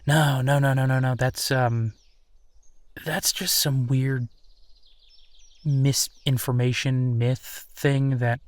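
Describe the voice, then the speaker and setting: A man playing an easygoing, affectionate werewolf boyfriend in an intimate audio roleplay, speaking earnestly and haltingly. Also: mid-range voice, little pitch movement, no room sound, natural voice. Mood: patient, explaining. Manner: hesitant, chuckling, earnest, halting phrasing. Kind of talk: intimate boyfriend roleplay, naturalistic one-sided conversation.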